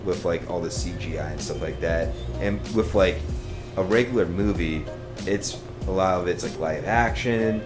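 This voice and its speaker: A man with a low voice chats casually on a podcast panel, feeling his way through his points with frequent filler phrases. Music plays clearly along with his speech. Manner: halting, frequent "like" and "kind of". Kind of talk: casual chat.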